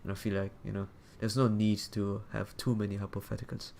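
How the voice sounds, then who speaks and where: A man giving casual commentary as a streamer, halting and repeating his phrases as he thinks aloud. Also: on the low side, flat delivery, creaky, a little mellow, slight background noise. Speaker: streamer reacting to another stream.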